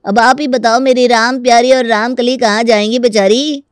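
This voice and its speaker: Actor as stubborn, crafty village tenant, dry recording. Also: male voice, very high-pitched, fairly even intonation, moderate pace, clear, resonant tone, no room sound. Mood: folksy, mock-innocent. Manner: folksy, mock-polite, argumentative. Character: stubborn, crafty young rural male tenant with cattle. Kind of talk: Hindi comic story dramatization.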